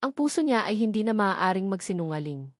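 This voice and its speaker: A woman's voice: medium pitch, very animated, a little fast, dry recording, clean studio sound. A woman with a Filipino accent reading a scripted, dramatic love-story narration.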